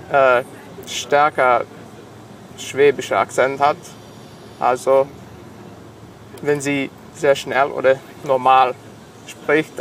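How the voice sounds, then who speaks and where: A man of twenty-five, a native Serbian speaker with a Serbian accent, answers interview questions haltingly, searching for words in a second language. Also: mid-range voice, a little hiss or hum, low-quality recording. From Sombor, Serbia. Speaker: interview guest, engineering student.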